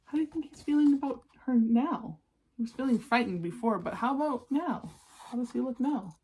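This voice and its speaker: Female children's read-aloud presenter, slightly husky, gentle and encouraging, talks straight to the young listener. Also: fairly high pitch, expressive, a little fast, rough recording. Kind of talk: expressive storybook reading with asides to young listeners.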